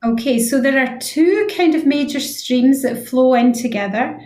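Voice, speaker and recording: A woman's voice: high-pitched, moderate pace, a little mellow. A woman giving an informal radio interview as a physiotherapy expert, earnest and stressing key points by repeating them. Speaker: interview guest, head of physiotherapy. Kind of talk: informal radio interview, explaining health advice. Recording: roomy, echoey sound.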